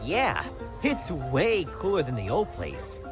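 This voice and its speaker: A woman's voice: sits low, huge pitch swings, takes it slowly, heavily acted. A woman voicing a cocky, easygoing young male hedgehog hero in a comic dub. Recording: loud music under the voice.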